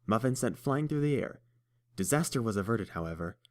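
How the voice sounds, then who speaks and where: A man reading fan fiction aloud in a measured storytelling manner. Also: medium pitch, lively intonation, a little mellow. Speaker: audiobook reader. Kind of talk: fan audiobook narration.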